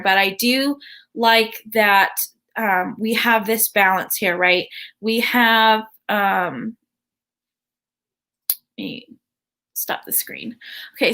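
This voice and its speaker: A woman hosting a casual commentary video, chatty and full of ums and restarts, with a voice she calls high-pitched. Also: bright, forceful tone. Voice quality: says her voice is high-pitched. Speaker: host.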